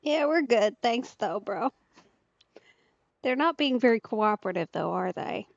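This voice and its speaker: A woman's voice: fairly high pitch, animated, a little slow, vocal fry, no room sound, highs cut off. A woman streamer giving casual, wry and playful reactions during a let's play.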